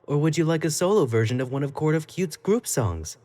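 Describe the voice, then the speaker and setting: A man's voice: on the high side, animated, fairly quick, warm tone, natural voice. An actor playing an obsessive, clingy young male pop idol in an audio roleplay, talking to the listener in a coy, playful, doting way. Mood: teasing. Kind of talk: scripted roleplay monologue to the listener.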